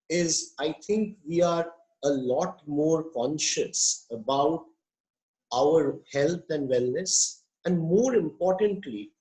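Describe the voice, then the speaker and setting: A man, a psychiatrist giving an online welcome speech, hoarse from a cold and speaking in a measured, deliberate way over a video call. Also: fairly high pitch, a little mellow, rough recording. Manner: measured, deliberate, pauses with fillers.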